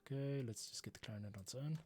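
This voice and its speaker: A man hosting a casual composing livestream, thinking aloud in halting, muttered asides with music playing behind him. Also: medium pitch, a little mellow. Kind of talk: casual thinking-aloud tutorial while working.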